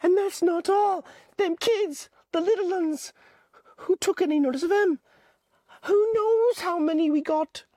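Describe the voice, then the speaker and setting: A woman's voice: extremely high pitch, measured pace, mellow, no room sound, pitched above the performer's own voice. A woman voicing an anxious, indignant schoolboy with glasses, in a whiny, protesting tone.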